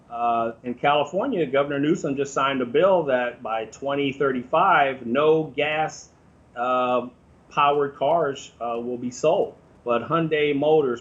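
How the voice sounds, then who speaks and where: A man's voice: medium pitch, a bit bright, highs cut off. An American man speaking informally as an interview guest, explaining his opinions in a conversational way.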